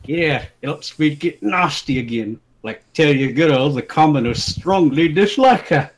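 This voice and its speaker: Man putting on a loud, belligerent storybook hooligan. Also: on the high side, expressive, a little slow, voice pushed up, poor audio. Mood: menacing. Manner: shouting insults in mangled slangy phrases. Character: two brawling loutish hooligans, crude and belligerent.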